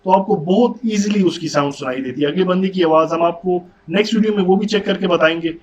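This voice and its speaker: High-pitched Pakistani man, casual review chat. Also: quick pace. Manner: mixes English words into speech. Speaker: motorcycle vlogger reviewing gear. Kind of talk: casual conversational product review. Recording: dull audio with background noise.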